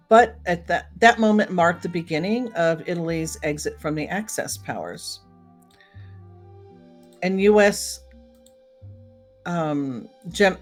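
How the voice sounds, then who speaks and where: A woman hosting a solo podcast in a casual, chatty way, talking directly to her listeners. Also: on the low side. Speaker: podcast host. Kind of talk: casual solo podcast commentary on old time radio.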